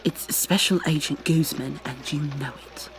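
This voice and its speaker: A woman's voice: sits low, steady tone, moderate pace, rough recording. A woman playing a proud, exasperated goo girl special agent with prim, formal wording in an ASMR roleplay. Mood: indignant. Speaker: voice actor in an audio roleplay.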